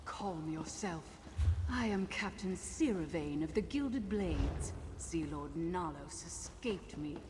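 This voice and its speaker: Proud pirate captain, lowish voice. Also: female voice, expressive, slow, background noise. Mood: grave, resolute. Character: proud, vengeful female mercenary pirate captain, wry and commanding. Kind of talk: video game character acting.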